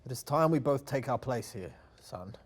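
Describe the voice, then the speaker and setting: A man acts out a stern warrior father from a fantasy tribe. He speaks in grave, measured declarations at a middle pitch, like a teacher addressing his son in an audio drama. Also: expressive, slightly rough. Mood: solemn. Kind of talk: dramatic table read acting.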